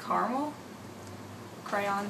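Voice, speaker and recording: A young woman from Arizona reading word lists slowly and flatly for a dialect study. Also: mid-range voice, very noisy. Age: young adult. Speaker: study participant. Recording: echoey room, distant mic.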